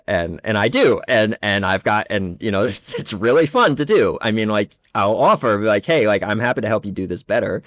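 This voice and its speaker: A man speaking as a podcast guest in casual chat, blunt and animated, with lots of filler words. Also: on the low side, fairly quick, hardly any pauses, dry recording, studio quality. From not from the Czech Republic. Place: lives in Prague.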